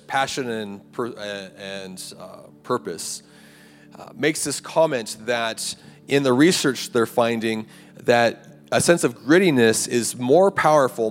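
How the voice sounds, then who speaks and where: A man preaching a conversational sermon to a campus ministry, his voice raspy and a little hoarse. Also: mid-range voice. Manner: conversational preaching with rhetorical "right?" and "like". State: hoarse, clearing throat. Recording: live hall recording, long reverb, faint music.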